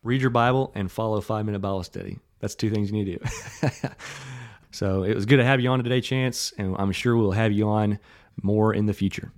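Male podcast host and preacher, lowish voice, loose and self-deprecating. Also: steady tone, brisk. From Tennessee. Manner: chatty, self-deprecating, frequent filler words. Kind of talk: casual podcast chat and storytelling.

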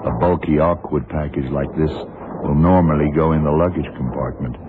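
An extremely deep-voiced detective speaks with clipped, cool authority. He sounds shrewd and deliberate, in radio drama style, on a thin old broadcast recording with heavy noise. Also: steady tone, narrow, tinny audio. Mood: urgent, commanding. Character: blind male detective, shrewd, cool, commanding. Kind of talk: 1970s radio drama acting.

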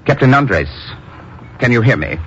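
An actor playing a careful, commanding doctor and hypnotist in a 1950s radio drama, deliberate and clinical. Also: male voice, mid-range voice, big pitch swings. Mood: commanding, probing. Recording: muffled, noisy old radio recording.

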